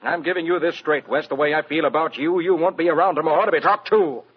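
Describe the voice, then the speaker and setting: An actor playing an aggrieved, hard-pressed theatrical producer who argues bluntly, in old-time radio drama style. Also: male voice, on the high side, fast, lightly acted. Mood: angry, pressing. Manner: blunt, forceful argument. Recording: dull vintage radio recording.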